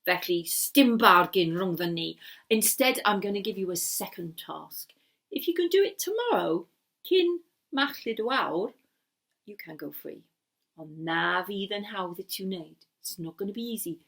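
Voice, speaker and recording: A woman voicing a gruff, scheming, bad-tempered male wizard in a bilingual Welsh and English story, with a taunting manner. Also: medium pitch, lively intonation, unhurried, a bit bright, pitched below the performer's own voice. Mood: displeased.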